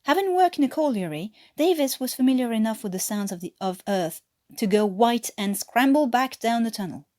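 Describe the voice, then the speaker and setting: A woman's voice: on the high side, lively intonation, brisk, dark tone. French woman reading a story expressively. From France. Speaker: amateur audiobook reader. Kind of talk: scripted story narration.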